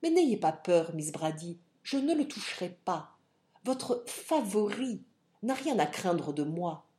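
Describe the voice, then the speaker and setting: A woman's voice: fairly low pitch, big pitch swings, slow, mellow. A woman voicing a burly, haughty English army captain in an audiobook, formal and sarcastic in manner. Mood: contemptuous. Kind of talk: audiobook character acting.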